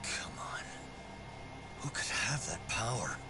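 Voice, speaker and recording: An actor playing a defiant, principled private detective with a challenging, indignant manner in video game dialogue. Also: male voice, fairly low pitch, lively intonation, slow pace, in a whisper. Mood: shocked, questioning.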